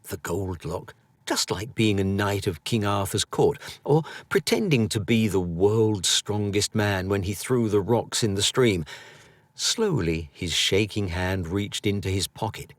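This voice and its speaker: A British man reads a story as an audiobook narrator. His voice is fairly low and very expressive in pitch, with a slow, deliberate pace. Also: no room sound.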